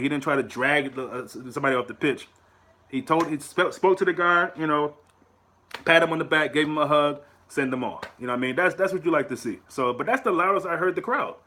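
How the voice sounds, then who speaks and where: An American man hosting his own fan channel, talking in a casual, animated way to his viewers, often repeating words and pushing up into rhetorical questions. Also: a little high. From United States. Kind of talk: casual solo commentary to camera.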